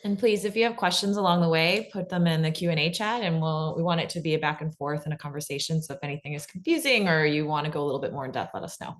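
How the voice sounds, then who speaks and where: A woman's voice: low voice, fast talker, few pauses. A woman speaking as an expert guest in a casual panel talk, explaining things informally and often saying "like" and "you know". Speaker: expert guest on pitching audio drama.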